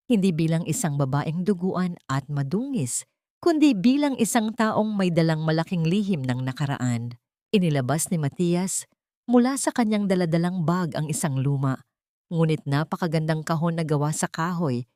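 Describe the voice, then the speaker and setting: A woman's voice: low voice, dark tone, dry recording, clean studio sound. A woman with a Filipino accent reading a dramatic love story as a narrator, solemn and emotive. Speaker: narrator of audio drama stories.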